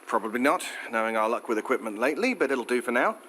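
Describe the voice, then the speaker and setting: A man's voice: mid-range voice, expressive, fast talker, bright, forceful tone, a little hiss or hum. A man acting a wry, worried colleague with a British accent in an audio drama, dryly sarcastic. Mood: wry, uneasy. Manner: dry, sarcastic. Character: wry, worried male lab colleague Tim, bluntly sarcastic.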